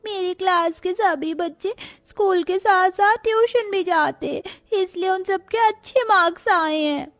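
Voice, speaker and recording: High-pitched meek schoolgirl voiced by a woman. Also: a little slow, hardly any pauses, faint music behind. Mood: tearful. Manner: earnest, meek. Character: dutiful, sensitive young schoolgirl. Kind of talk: Hindi cartoon moral story.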